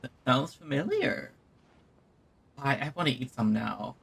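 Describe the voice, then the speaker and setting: A woman's voice: extremely low pitch, expressive, unhurried, vocal fry, background noise. A woman streaming a game with casual, chatty commentary, reacting aloud and reading text out.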